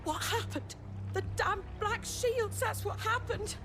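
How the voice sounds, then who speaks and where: High-voiced tough, blunt innkeeper. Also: female voice, slow pace, music in the background, full character voice. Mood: bitter, shaken. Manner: blunt, fiery, earthy. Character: tough, outspoken woman innkeeper protecting the downtrodden. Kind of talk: dramatic fantasy video game acting.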